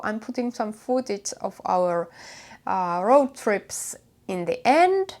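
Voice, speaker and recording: A woman from Finland with a Finnish accent and Finnish as her first language, chatting casually to viewers as a vlog host in a halting, hesitant way with many pauses. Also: a little high, a little mellow. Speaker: knitwear designer and vlog host.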